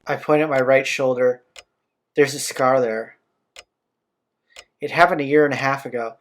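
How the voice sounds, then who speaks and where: A man's voice: medium pitch, fairly even intonation, normal speed. A man hosting a let's play, reading game narration aloud with casual asides. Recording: game music behind the voice.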